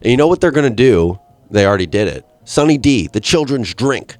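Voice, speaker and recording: A man in his twenties hosting a podcast, ranting in a rambling, profane and deadpan way. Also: mid-range voice, fairly quick, no echo. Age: 20s. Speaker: podcast host. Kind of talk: solo comedic rant.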